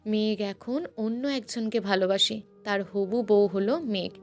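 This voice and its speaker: A woman's voice: medium pitch, measured pace, darkish tone. A woman reading a scripted story as a narrator, with dramatic, emotive delivery. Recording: music bed under the narration. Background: background music.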